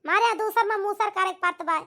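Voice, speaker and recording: A very high, bright voice acting a nagging, emphatic village woman with an Awadhi accent in a cartoon comedy. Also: fast talker, full character voice. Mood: chatty, complaining. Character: scolding village Indian women of a cartoon family.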